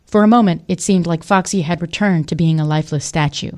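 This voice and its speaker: Woman narrator, slightly low voice. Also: a little fast, darkish tone. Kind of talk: scripted audio drama narration.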